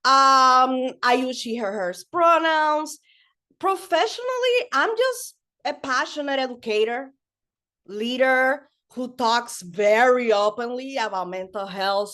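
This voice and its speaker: A woman from the Dominican Republic whose first language is Spanish, introducing herself as a webinar panelist in a lively, playful way over a video call. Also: on the high side. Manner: lively and playful, with a slow unhurried delivery. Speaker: panelist, mental health educator.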